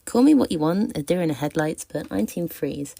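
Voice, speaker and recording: Low, creaky-voiced woman reading prepared remarks. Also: expressive, a little fast, mellow. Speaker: listener sending in a voice message. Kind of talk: prepared, scripted opinion piece.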